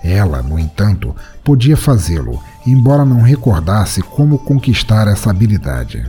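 A man hosting a podcast and narrating a story in a measured, dramatic storytelling manner. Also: on the low side, very animated, mellow, soft background music.

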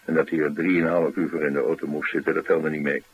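An actor playing a dry, sardonic, reticent former bodyguard troubled by an old head wound, in a Dutch radio drama. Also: male voice, extremely low pitch, little pitch movement, fast, slight background noise.

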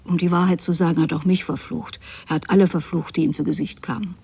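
A woman's voice: fairly low pitch, fast talker, vocal fry, dark tone, husky, exaggerated voice. An actress playing a bitter, anxiously possessive mother in a radio drama, addressing her absent son in a plaintive, accusing way. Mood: bitter, anguished. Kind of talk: literary radio drama monologue.

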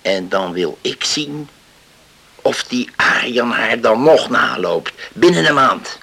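An actor in a radio play playing a stern, stubborn middle-aged father with a rough voice and a curt, deliberate, unyielding manner. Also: mid-range voice, lively intonation, bright, forceful tone, heavily acted. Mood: scornful, threatening. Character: stern, stubborn island father guarding his daughter.

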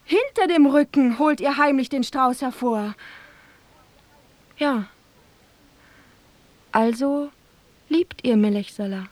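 High-voiced, unhurried young sultan's daughter. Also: female voice, a little mellow, dry recording. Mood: tender, teasing. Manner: theatrical, lilting verse delivery. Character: young sultan's daughter, forthright, lovestruck and playful. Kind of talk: rhymed verse fairy-tale audio drama.

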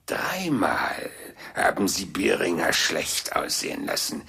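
Smug theatrical villain: drawling and pompous, slow, heavily creaky, raspy, radio drama. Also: male voice, deep voice, big pitch swings, bright, forceful tone, exaggerated voice. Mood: threatening. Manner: mock-courteous, drawling, pompous. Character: Behringer, smug theatrical villain, cackling and menacing. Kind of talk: German radio drama acting.

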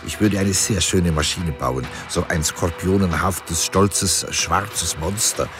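A man's voice: low voice, slow pace. An actor playing a male artist in a radio play, musing on gleefully destructive inventions and savoring each phrase, with music behind. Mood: dreamy, mischievous. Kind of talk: radio drama monologue.

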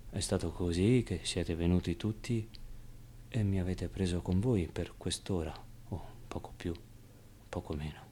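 An actor playing a streetwise, wistful orphan boy in a halting, emotional theatrical monologue. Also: deep voice, a little hiss or hum. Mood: reflective, aching. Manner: halting, emotional, swinging from outbursts to hushed confiding. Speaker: stage actor.

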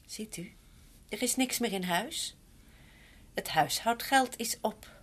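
An actress playing a glum, deferential housekeeper in a Dutch radio drama, with a husky voice. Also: female voice, fairly high pitch, takes it slowly. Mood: resigned, glum.

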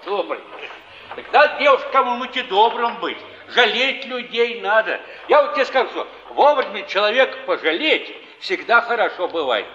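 An actor playing a kindly, sly old wanderer who talks in a folksy, soothing way in a stage drama. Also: male voice, sits high, very animated, moderate pace, sharp tone, full character voice. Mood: tender, amused. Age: older. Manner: folksy, soothing, repeats words, chuckles. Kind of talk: mid-century Russian stage acting. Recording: echoey theatre stage, distant, dull archive sound.

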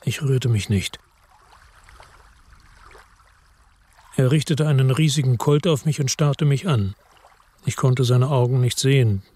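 An actor playing a weary hard-boiled man who narrates his own crime story in a radio drama, terse, brooding and husky. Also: medium pitch, moderate pace, warm tone, lightly acted. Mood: grim, tense.

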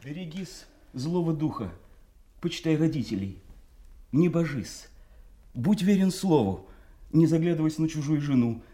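An actor playing a frantic, pitiful mad beggar haunted by demons, rambling and chanting in a theatrical radio play. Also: male voice, a little high, lively intonation, moderate pace, long pauses, dark tone, echoey room, lightly acted. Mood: pitiful raving. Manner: rambling, chanting, erratic outbursts. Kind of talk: theatrical radio drama acting.

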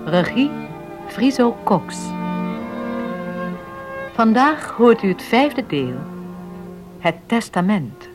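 Slow, lively woman announcer over music. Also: a little high. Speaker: radio drama announcer. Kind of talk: scripted announcement.

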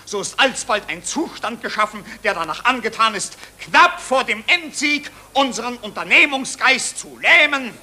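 Ein Schauspieler spielt in einem deutschen Hörspiel der 1940er einen wichtigtuerischen, nationalistischen Psychiater, pompös und theatralisch deklamierend. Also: male voice, extremely high pitch, animated, moderate pace, hardly any pauses, pushed and bright, heavily acted. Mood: indignant, bombastic. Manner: pompous, officious, theatrical oratory. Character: bombastic, nationalist German psychiatrist, self-important official. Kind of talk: 1940s German radio play, declamatory speech. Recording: noisy 1940s radio recording.

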